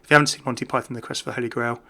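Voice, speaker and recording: A man chats his way through casual game commentary with obvious enthusiasm. His voice is bright and forward with plenty of creak at the edges, and he talks off the cuff, keen on every small detail. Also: mid-range voice, fairly even intonation, rapid-fire.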